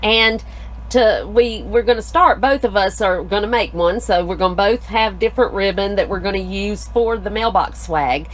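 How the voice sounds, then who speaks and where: A woman's voice: mid-range voice, normal speed, a little hiss or hum. A middle-aged woman co-hosting a live craft tutorial in an indoor studio, affirming her partner and explaining steps plainly. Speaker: co-host, craft designer.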